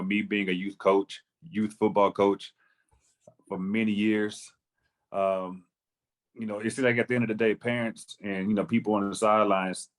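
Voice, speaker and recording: Male guest, youth coach, lowish voice, measured and sincere delivery. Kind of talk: casual discussion.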